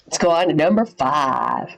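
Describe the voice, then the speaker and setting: A woman's voice: deep voice, lively intonation, a little slow, creaky. Casual slangy woman reacting live. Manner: slangy, mildly profane, repeats phrases. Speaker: livestream host reacting to a horror series.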